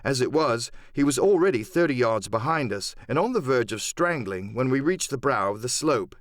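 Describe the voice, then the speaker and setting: A man's voice: mid-range voice, lively intonation, normal speed, no room sound, studio quality. A man narrating an adventure novel in a dramatic first-person storytelling style. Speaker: audiobook narrator and voice actor.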